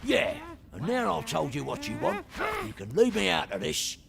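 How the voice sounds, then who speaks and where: A man playing a shifty, grumbling petty thief in a video game, gravelly, whining and evasive. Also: fairly high pitch, sing-song, moderate pace, very noisy, exaggerated voice.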